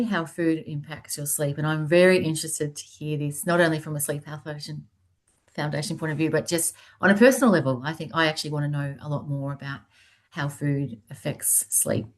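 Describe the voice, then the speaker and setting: An Australian woman hosting an online webinar in a chatty, loose way, often restarting her sentences, speaking over a video call. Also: sits low. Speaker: webinar host.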